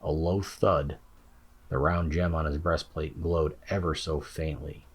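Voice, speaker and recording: A man's voice: rumbling low, fairly even intonation, measured pace, slightly rough. A man giving a scripted narration of a fantasy audiobook as its narrator.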